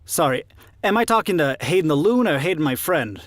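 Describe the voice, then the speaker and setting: A young American man with a fairly high, swooping voice. He is the doubting but loyal college friend in a radio drama, speaking with wry exasperation. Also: big pitch swings, fairly quick, dry recording. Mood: skeptical. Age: young adult.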